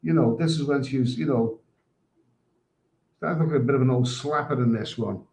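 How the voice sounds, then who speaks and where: A man's voice: medium pitch, a little fast, vocal fry, a little mellow. A man hosting a casual livestream, reading viewer comments in a crude, rambling way and often tripping over his words. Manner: crude, rambling, stumbles and repeats himself. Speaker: live stream host.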